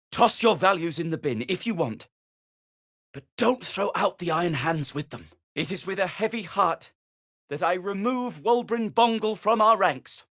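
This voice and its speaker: Earnest gnome, video game dialogue, moralizing, articulate, emphatic, unhurried pace, very animated pitch. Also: male voice, exaggerated voice. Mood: sorrowful resolve. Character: earnest, principled male gnome, pleading and moralizing.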